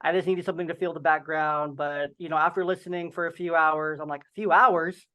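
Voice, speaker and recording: A man's voice: on the high side, fairly even intonation, fairly quick, bright, forceful tone. A young man with a slight lisp and an occasional stutter tells his story in a casual, rambling way full of fillers on a video call. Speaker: podcast host and guest. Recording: dull lo-fi video call audio.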